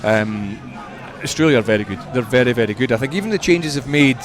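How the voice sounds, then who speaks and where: A man giving conversational sports punditry as a radio guest, measured and repeating words for emphasis. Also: mid-range voice, crowd noise.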